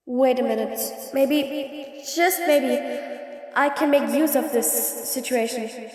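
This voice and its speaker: A woman's voice: sits high, slow, slightly rough, heavily acted. A woman playing a sly, impatient supervillain in a scripted audio roleplay, speaking in a theatrical, mocking way with formal, wordy phrasing. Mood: frustrated.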